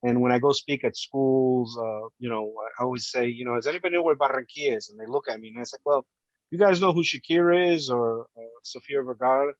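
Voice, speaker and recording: A man in his fifties speaks as a podcast guest, earnest and heartfelt as he recounts his life. His voice sits at a middling pitch, and the recording is dull and lo-fi with a dry, close sound. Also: fast talker, no echo. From Colombia, raised in New Jersey. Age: 50s. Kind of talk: casual interview storytelling.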